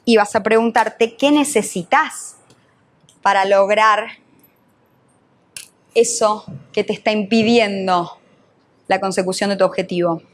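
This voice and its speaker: Una mujer con acento argentino, entrenadora que da un taller en vivo y le hace preguntas al público. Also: female voice, medium pitch, fairly even intonation, measured pace, long pauses. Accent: Argentine Spanish. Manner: questions the audience, uses voseo, teacherly. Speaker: NLP trainer and coach. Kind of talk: live workshop talk.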